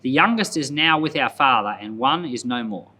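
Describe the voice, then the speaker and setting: A man's voice: mid-range voice, lively intonation, normal speed, bright, forceful tone, a little hiss or hum. A man voicing humble, anxious brothers in a scripture story, earnest and deferential. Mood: pleading. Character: anxious, pleading group of brothers, humble grown men.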